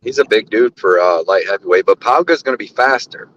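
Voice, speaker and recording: A man's voice: fairly low pitch, lively intonation, fairly quick, a bit bright. Casual man on muffled call audio. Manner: casual, slangy. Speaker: co-host.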